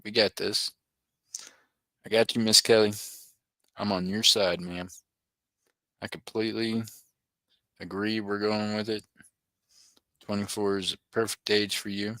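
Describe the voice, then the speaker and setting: A man's voice: fairly low pitch, level tone, a little slow, long pauses, pushed and bright, no echo. A middle-aged man hosting a live auction stream, chatting and joking with viewers by name. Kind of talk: casual livestream chat.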